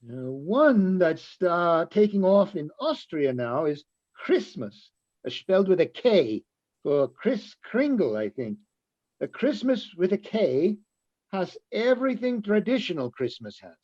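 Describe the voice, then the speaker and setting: A man's voice: sits high, big pitch swings, measured pace, darkish tone, heavily acted. A man reading the part of an agreeable, chatty friend in an amateur radio play, searching for words, on slightly dull audio. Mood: chatty, informative.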